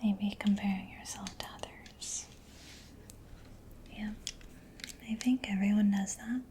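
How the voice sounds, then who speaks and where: A woman doing a soft-spoken ASMR roleplay, mostly whispering in a breathy, gentle, soothing murmur close to the microphone. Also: medium pitch, steady tone, takes it slowly, slight background noise. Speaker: ASMR performer.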